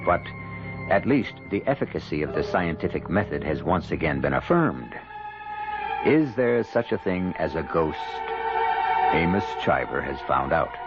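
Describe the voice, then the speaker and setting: Muffled old radio show with music, an ominous wry storyteller, theatrical and measured. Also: male voice, rumbling low, sing-song, exaggerated voice. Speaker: host and narrator. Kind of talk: dramatic scripted radio narration.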